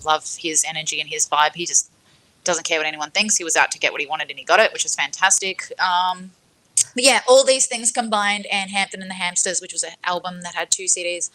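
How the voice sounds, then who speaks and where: A woman's voice: lowish voice, brisk, pushed and bright, poor audio. A woman chatting casually on a livestream panel, reminiscing about herself in an effusive, rambling way. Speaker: livestream panel guest and music fan.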